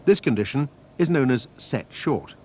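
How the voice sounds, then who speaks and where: A man reading a scripted technical training film narration in a measured, precise, instructional manner. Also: medium pitch, animated, darkish tone, husky. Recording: noisy archive training-film soundtrack.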